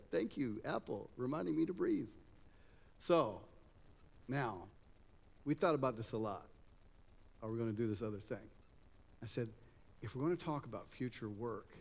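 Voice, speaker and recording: A man's voice: mid-range voice, darkish tone. A middle-aged man from Germany with an American-tinged accent, giving an informal motivational keynote in a conversational way. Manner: conversational, addresses audience with rhetorical questions. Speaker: conference speaker. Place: event hall at a conference campus. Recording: echoey hall, long reverb.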